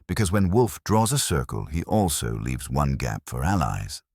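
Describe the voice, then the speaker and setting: A man voicing a steady, knowing detective's operative who reports facts laconically in a mystery story. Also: a bass voice, moderate pace, no echo, studio quality, exaggerated voice. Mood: assured.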